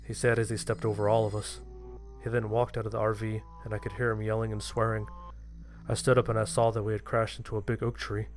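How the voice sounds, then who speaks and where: Man, lowish pitch, scripted story narration, first-person horror, music behind. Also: monotone, fast.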